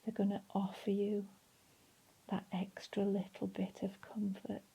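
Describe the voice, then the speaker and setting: A woman leading a guided relaxation in a soft, breathy, soothing voice, leaving long pauses between phrases. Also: medium pitch, completely flat delivery, rough recording. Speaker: meditation and relaxation instructor.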